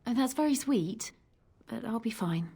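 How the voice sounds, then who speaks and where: An actress playing a gentle, modest young barmaid in a fantasy game, soft-spoken and hesitant. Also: female voice, on the high side, lively intonation, normal speed, breathy edge. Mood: gentle, worried.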